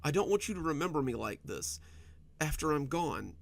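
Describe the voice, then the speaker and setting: A man voicing a frightened young wife whose high, overly sweet voice keeps breaking as she holds back tears. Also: big pitch swings, normal speed, creaky, heavily acted. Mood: tearful.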